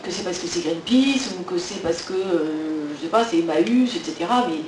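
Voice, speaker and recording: A man thinks out loud on a conference panel, hesitant and full of pauses and fillers. He is far from the mic in an echoey hall with some faint noise behind him. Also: sits high, animated. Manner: hesitant, many fillers, reflective. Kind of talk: panel discussion. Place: conference hall at a trade fair.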